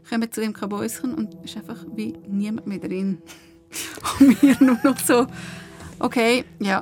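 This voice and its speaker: A woman with a Swiss German accent, a podcast guest casually telling an anecdote in an animated way. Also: fairly high pitch.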